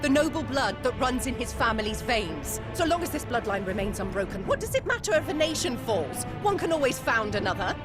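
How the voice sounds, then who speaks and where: Bitter noble mother, imperious and grandiose, high voice that swoops dramatically, game music behind. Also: sing-song, a little fast, few pauses. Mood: haughty, grandiose. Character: haughty, bitter noblewoman mother obsessed with bloodline. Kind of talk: theatrical video game cutscene acting. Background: orchestral game music.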